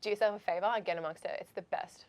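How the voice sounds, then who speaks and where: A woman's voice: medium pitch, steady tone, very fast. A woman co-hosting a game review show, chatting casually and emphatically with gushing enthusiasm.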